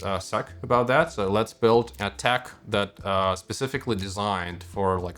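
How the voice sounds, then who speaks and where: A man from Russia with a Russian accent, a native Russian speaker, explaining technology as a startup co-founder in a halting, hesitant way. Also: lowish voice, a bit bright. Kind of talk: explanatory interview talk about technology.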